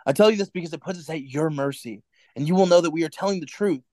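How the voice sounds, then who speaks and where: A man playing an earnest, anxious rebel in a radio drama, with a hesitant, halting delivery. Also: a little high, a little mellow, no room sound, clean studio sound, lightly acted. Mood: nervous, resolute. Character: earnest, anxious male rebel and lover in a dystopia. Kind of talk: radio play acting.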